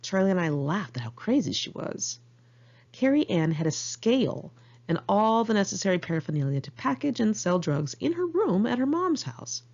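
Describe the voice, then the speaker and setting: An American woman reads her own memoir as an informal audiobook, with a candid, wry, expressive manner. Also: mid-range voice, moderate pace, dull audio. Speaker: author reading own memoir.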